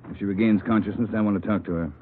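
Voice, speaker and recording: A man playing a gruff police boss giving brisk orders in a low voice. He comes through a tinny, faintly noisy old radio recording. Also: steady tone, close to an everyday voice. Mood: commanding. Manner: curt, authoritative. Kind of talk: 1940s radio police drama acting.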